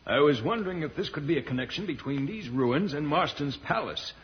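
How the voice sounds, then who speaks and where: An actor playing a resolute, level-headed space hero in an old-time radio serial, earnest and reasoning aloud. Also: male voice, a little high, lively intonation, a little fast, natural voice. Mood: calm reasoning. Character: heroic male space adventurer, resolute, level-headed protector. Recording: muffled, hissy vintage radio, cavernous reverb.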